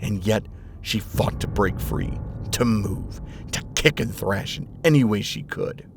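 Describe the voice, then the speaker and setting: A man reading scripted dramatic fiction as narrator, in a measured, grave manner. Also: fairly low pitch, gravelly. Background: music.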